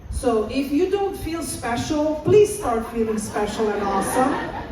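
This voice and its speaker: A woman's voice: sits high, big pitch swings, measured pace, distant mic, crowd noise. Woman in an echoey hall. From Romania. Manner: encouraging, asks audience to call out answers. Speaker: assistant professor and presenter. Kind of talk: interactive conference talk. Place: large conference hall.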